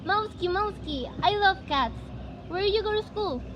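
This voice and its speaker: A woman playing an excitable, chatty, dreamy young girl in an amateur dramatic reading, with eager delivery. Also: very high voice, moderate pace, dull audio, noisy background. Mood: excited, eager. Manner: eager, exclaiming.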